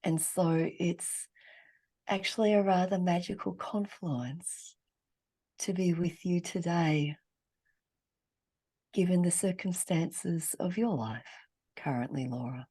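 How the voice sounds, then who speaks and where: Woman as a therapist guest: low, gravelly, thoughtful delivery. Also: slow pace, pauses often, dark tone. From Australia. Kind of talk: reflective interview talk. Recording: podcast remote call.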